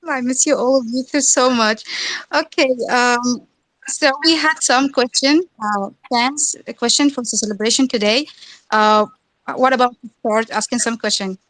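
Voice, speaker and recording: A woman's voice: on the high side, moderate pace, fairly bright tone, dry recording, low-quality recording. A woman hosting a live fan chat, eager and chatty, stumbling over her words. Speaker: host of a fan audio chat.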